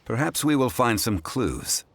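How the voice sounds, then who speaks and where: An actor playing a composed, courteous, scholarly gentleman in a fantasy video game, speaking in a formal, measured, erudite way. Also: medium pitch, very animated, very noisy. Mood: calm, scholarly. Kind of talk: video game character dialogue.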